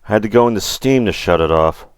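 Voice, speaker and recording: A middle-aged man hosting a gaming livestream, chatting casually in a rambling, halting way. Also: fairly low pitch, lively intonation, clean tone, no room sound, clean studio sound.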